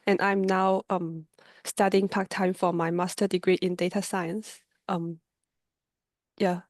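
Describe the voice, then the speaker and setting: A young woman introduces herself softly and briefly, pausing on hesitant ums. Her delivery is very flat and even. The sound is dry and close. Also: on the low side, no echo. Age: 20s. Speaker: guest speaker, data scientist. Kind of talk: webinar self-introduction.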